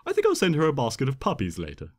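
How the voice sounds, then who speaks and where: A man reading fan fiction as an expressive, theatrical first-person narration. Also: mid-range voice, racing. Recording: long hall reverb.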